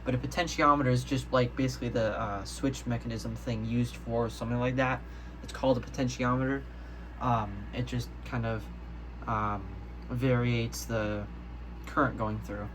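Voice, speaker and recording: A man's voice: mid-range voice, fairly even intonation. Rambling man, noisy casual recording. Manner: rambling, halting, self-correcting. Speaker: hobbyist video maker. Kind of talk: casual show-and-tell vlog. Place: indoors, room with audio gear.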